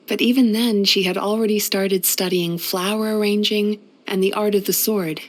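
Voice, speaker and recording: A woman voicing a gentle, wistful, centuries-old yokai healer in a video game, speaking softly in a measured, polite, reflective way. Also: medium pitch, lightly acted. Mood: gentle, concerned. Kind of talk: scripted video game character voice-over.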